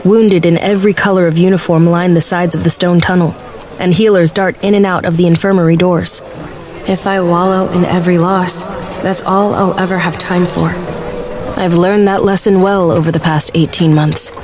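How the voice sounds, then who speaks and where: An actress playing a determined, anxious young military cadet, narrating a fantasy audiobook drama in the first person. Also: female voice, lowish voice, fairly even intonation, moderate pace, darkish tone, slight background noise, close to an everyday voice. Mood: grim resolve. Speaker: lead narrator. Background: music.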